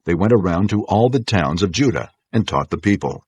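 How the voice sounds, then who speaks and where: A man with a deep voice narrates scripture from a script. His delivery is measured and formal, with a slightly rough grain and very little room sound. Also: lively intonation, no echo. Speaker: audiobook narrator.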